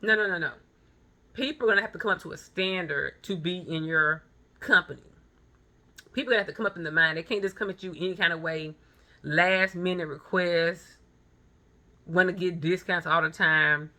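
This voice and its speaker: Bright, emphatic woman in her thirties. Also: fairly low pitch. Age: 30s. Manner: emphatic, blunt, repeats phrases for stress. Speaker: vlogger and photographer. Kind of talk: motivational monologue with personal anecdotes.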